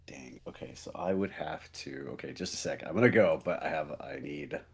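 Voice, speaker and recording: Hesitant game player, very animated pitch. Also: normal speed, gravelly, breathy edge. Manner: hesitant, thinking aloud. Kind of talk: casual game chat.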